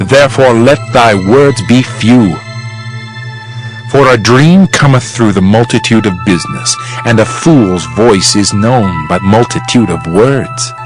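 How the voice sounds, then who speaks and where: A man playing a solemn Preacher in a dramatized King James Bible reading, measured and oratorical in delivery. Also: lowish voice, expressive, faint music behind, rough recording. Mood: solemn, admonishing. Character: solemn male Preacher reflecting on vanity and God. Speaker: dramatized scripture reader.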